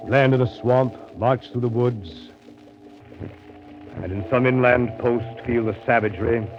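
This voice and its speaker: An actor playing a brooding seafarer who recounts a jungle voyage in a measured, literary, dramatic 1930s radio style. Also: male voice, medium pitch, fairly even intonation, over music, lightly acted. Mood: brooding, intense. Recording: scratchy, narrow-band 1930s radio broadcast.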